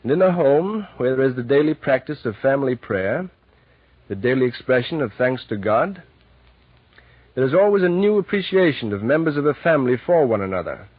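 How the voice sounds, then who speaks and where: Male radio host, 1940s: husky, mid-pitched, earnest scripted address, dry narrow-band recording. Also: very animated, a little fast, no room sound. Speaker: program host.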